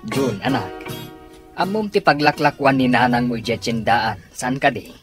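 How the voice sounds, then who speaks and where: Male actor as gruff Ilocano dad, fond of drink, suspicious and scolding, radio comedy drama style over music. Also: medium pitch, normal speed, lightly acted. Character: gruff, suspicious, hard-drinking father, scolding his children.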